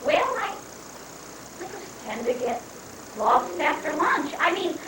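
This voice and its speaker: A woman's voice: high voice, sing-song, exaggerated voice. Woman acting flustered, swooping-voiced bumbling secretary. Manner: halting, flustered. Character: muddled, bumbling government secretary lost after lunch. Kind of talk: amateur dramatic reading of a satirical play. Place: room at a live library reading. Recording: echoey, distant, noisy room recording.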